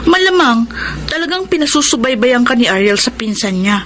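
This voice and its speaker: A woman's voice: on the high side, very animated, normal speed, fairly bright tone, slight background noise. Frank, worried girlfriend confidante. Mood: concerned, teasing. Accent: Filipino. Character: worried, cautioning female friend, knowing and frank. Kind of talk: Filipino radio drama acting.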